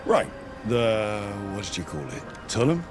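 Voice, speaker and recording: Low-voiced, curious adventurer. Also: male voice, huge pitch swings, a little slow, over music. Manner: inquisitive, conversational. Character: curious, encouraging adult male adventurer companion. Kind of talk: video game dialogue acting.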